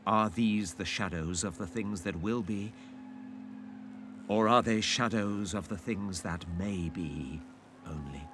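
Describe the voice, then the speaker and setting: A reformed miser speaks with theatrical emotion and a stammering, halting delivery. His pace is slow and his pitch swings widely, with noticeable ambient rumble behind him. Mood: fearful, grave. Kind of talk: theatrical audio drama acting.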